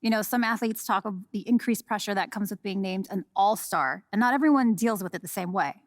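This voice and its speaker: Female sports reporter played by an actress, game press scene: medium pitch, composed, persistent, dry sound. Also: brisk, no echo, close to an everyday voice. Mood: composed, probing. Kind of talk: press conference questioning.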